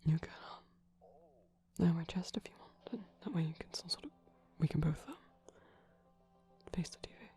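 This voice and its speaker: A man playing a shy, gentle vampire boyfriend in an ASMR roleplay, whispering softly and rambling hesitantly over a TV soundtrack. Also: on the high side, animated, normal speed, pauses often. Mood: tender, hesitant. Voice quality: soft, breathy whisper. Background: film audio and music from a TV.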